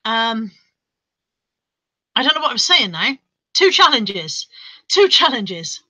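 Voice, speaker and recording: A woman's voice: a little high, sing-song, takes it slowly, sharp tone, no echo, rough recording. A British woman chatting casually on a live stream, reading out viewer comments and rambling in reply.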